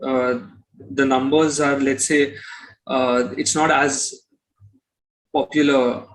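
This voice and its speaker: A man's voice: medium pitch, level tone, slow, rough recording. A man giving conversational interview answers as a musician, explaining and rephrasing as he goes.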